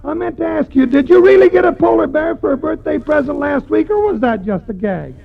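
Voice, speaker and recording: A man playing a guileless, slow-talking country bumpkin with a gravelly, cracking voice in a 1930s radio comedy. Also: very high-pitched, full character voice. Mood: genial, teasing. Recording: dull, lo-fi 1939 radio broadcast.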